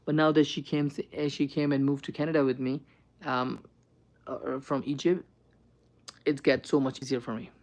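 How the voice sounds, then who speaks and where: A man's voice: mid-range voice, level tone, normal speed, a little mellow. A young man on a live stream, answering chat questions with earnest, reassuring advice and lots of ums and "right?".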